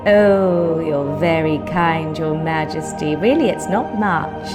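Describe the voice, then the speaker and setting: A woman's voice: sits low, takes it slowly, over music. An actress playing an earnest, modest, eccentric medieval woman who muses on her ideas in a comic audio drama. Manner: earnest, musing.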